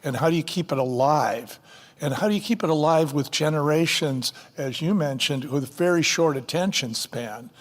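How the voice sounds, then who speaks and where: A man's voice: on the high side, moderate pace, big-room sound. An older man, a company co-founder, speaking emphatically in an interview like a seasoned salesman. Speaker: co-founder guest. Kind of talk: interview, persuasive pitch.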